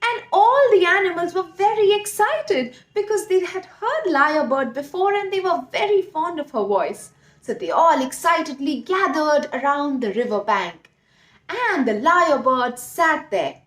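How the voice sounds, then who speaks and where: A woman telling a story to young children, expressive and speaking directly to her listeners. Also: extremely high pitch, measured pace. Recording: roomy echo.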